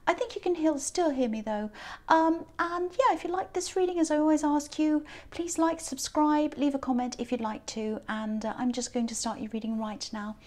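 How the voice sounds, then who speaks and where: A tired woman with a croaky voice giving a chatty, gentle tarot reading, full of ums and soft hedges. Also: sits high, moderate pace. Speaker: tarot reader. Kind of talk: casual tarot reading to camera.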